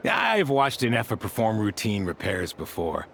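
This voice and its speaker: Calm, gravelly adventurer. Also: male voice, fairly low pitch, normal speed, slight background noise, full character voice. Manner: composed, polite, thoughtful. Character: calm, courteous, observant adult male adventurer. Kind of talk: video game voice acting.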